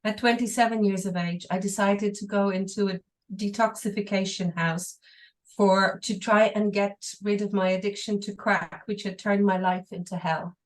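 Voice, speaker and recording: A woman reading an English translation aloud, steady and careful, with brief pauses. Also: medium pitch, low-quality recording. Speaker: interpreter.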